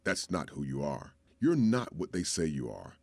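A man's voice: very deep voice, huge pitch swings, normal speed, creaky, darkish tone, dry recording, exaggerated voice. A man reading a grieving old father. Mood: tearful, tender. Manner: tender, tearful pleading. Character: grieving elderly father, gentle and proud.

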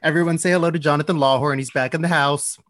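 A man's voice: a little high, steady tone, fast, a bit bright. A man hosting a podcast in casual banter, with a playful, teasing manner. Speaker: podcast host.

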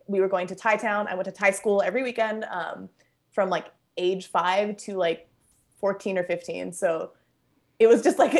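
A woman's voice: mid-range voice, vocal fry. A young woman born in Bangkok and raised in Los Angeles, chatting casually as an interview guest at home, full of fillers and easy laughter. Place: at home, kitchen nearby.

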